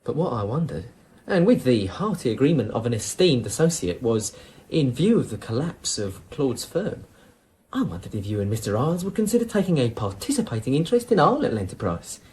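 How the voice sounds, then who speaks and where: A man's voice: medium pitch, very animated, brisk, darkish tone, lightly acted, rough recording. A man playing a cocky small-time drug dealer in a radio crime drama, chummy and ingratiating with a salesman's patter. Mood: pitching, smug.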